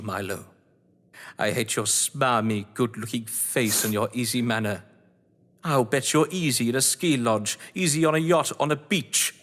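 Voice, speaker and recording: An actor playing a cold, gloating crime novelist and betrayed husband in an audio drama, speaking with eloquent, taunting menace. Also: male voice, mid-range voice, a bit bright. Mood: bitter hatred. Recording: reverberant hall-like room.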